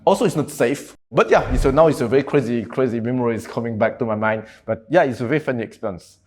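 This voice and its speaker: Guy in his 30s, french accent, cantonese and french native, relaxed podcast guest chat. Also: mid-range voice, fast. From French Guiana. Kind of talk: casual interview talk.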